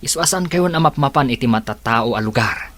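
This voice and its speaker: A man's voice: medium pitch, lively intonation, fairly quick, fairly bright tone, no echo, close to an everyday voice. A man with an Ilocano accent acting a frank, cautioning friend in a radio drama.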